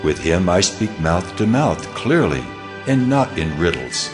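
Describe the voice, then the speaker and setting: A man's voice: sits low, big pitch swings, unhurried. A man playing God in a dramatized scripture reading, solemn and stern, speaking with grave authority. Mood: solemn, commanding. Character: God, commanding, solemn, stern divine male voice. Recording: music bed under the voice.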